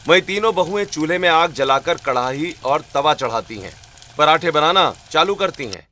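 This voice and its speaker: A man narrating a scripted cartoon story in an expressive storytelling way, with music behind him. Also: fairly high pitch, moderate pace, a little hiss or hum. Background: background music.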